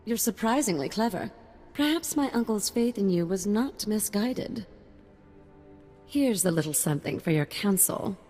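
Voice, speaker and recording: A woman's voice: mid-range voice, expressive, normal speed, dark tone, natural voice. A woman as a haughty noblewoman in a hall. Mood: grudging approval. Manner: formal, condescending. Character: haughty young noblewoman, grudgingly approving. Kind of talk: video game dialogue acting. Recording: long hall reverb, music behind.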